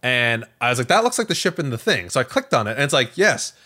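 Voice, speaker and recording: Animated, bright-voiced man telling a story. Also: mid-range voice, fast talker. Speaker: podcast host. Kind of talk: casual chat, recounting a story.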